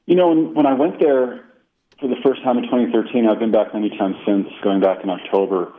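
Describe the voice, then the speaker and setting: A man's voice: medium pitch, fairly even intonation, a little fast, slight background noise. A middle-aged man, an author who grew up speaking Italian, talking reflectively in a radio interview with many fillers and restarts. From Colorado, United States. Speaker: author guest. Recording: tinny phone line.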